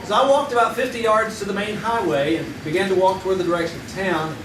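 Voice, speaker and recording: A man's voice: high voice, fast, close to an everyday voice. A man playing a folksy storyteller. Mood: nostalgic. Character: grown man telling a childhood story to friends. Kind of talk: live radio play on stage. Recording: echoey stage recording from far off, with audience noise.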